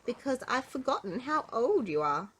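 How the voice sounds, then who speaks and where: A woman's voice: fairly high pitch, big pitch swings, normal speed, breathy edge, voice pushed up, poor audio. A woman plays a polite little storybook lady who loves her food. The voice is lifted and swoops about with eager emphasis, cheerful and greedy. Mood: chuckling confession.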